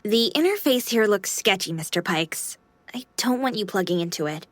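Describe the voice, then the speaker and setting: A woman's voice: mid-range voice, lively intonation, normal speed, dry recording, lightly acted. An actress playing a cute, devoted AI maid girl in a video game, speaking in a polite but teasing, pert manner. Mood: helpful, polite.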